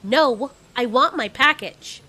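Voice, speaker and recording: A woman's voice: high voice, animated, slow, sharp tone, exaggerated voice. A woman voicing a proud, testy giant moth monster queen in an audio drama, demanding and exasperated. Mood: annoyed, exasperated.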